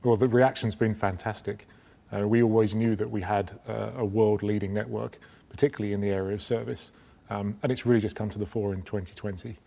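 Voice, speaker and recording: A man answering interview questions about a yacht dealer network, measured, with frequent ums and restarts. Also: lowish voice, flat delivery, creaky, mellow, big-room sound. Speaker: company spokesman.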